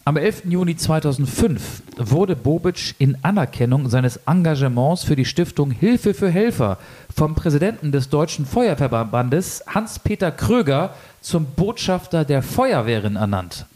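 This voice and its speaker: A man co-hosting a casual football podcast, reading quiz statements deliberately and teasing his co-host. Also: a little high, very animated, darkish tone.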